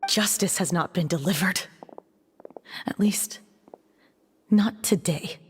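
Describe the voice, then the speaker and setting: A woman's voice: fairly low pitch, takes it slowly, breathy edge, heavily acted. An actress voicing a bitter, grieving young woman bent on revenge in a game cutscene, intense, wounded and accusing. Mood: bitter resignation. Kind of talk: dramatic video game cutscene acting.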